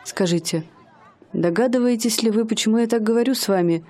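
A woman's voice: on the high side, brisk, warm tone, a faint crowd, close to an everyday voice. An actress playing a young woman who poses as a bored rich lady in a radio play, speaking in a haughty, mannered way. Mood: wistful. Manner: haughty, mannered, languid speech.

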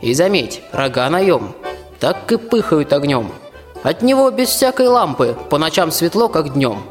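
Highish-voiced plain-spoken peasant soldier played by a man. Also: very animated, unhurried. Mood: cheeky, defiant. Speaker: student radio-play actor. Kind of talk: rhymed radio play acting. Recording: reverberant hall, music underneath.

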